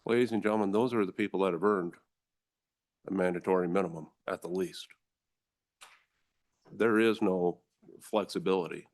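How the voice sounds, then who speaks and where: A deep, low-pitched American man testifies before a legislative committee. He speaks with forceful conviction. He addresses the room directly, like a prosecutor making his case. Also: fairly even intonation, moderate pace, pauses often. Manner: forceful, earnest, addresses the room as ladies and gentlemen. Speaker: district attorney testifying.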